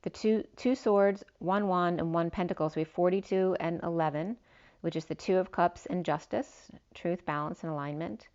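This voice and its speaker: A woman's voice: on the low side, moderate pace, darkish tone. A woman giving a spiritual tarot reading, meandering through long lists of cards with fillers, her voice slightly worn. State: losing her voice a little. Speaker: tarot reader. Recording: dull lo-fi sound.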